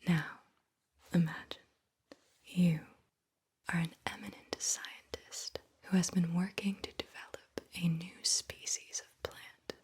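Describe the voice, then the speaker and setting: A woman whispering slowly and soothingly as an ASMR host, guiding the listener deliberately and repeating words. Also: fairly low pitch, fairly even intonation, a little mellow. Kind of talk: whispered ASMR guided visualization.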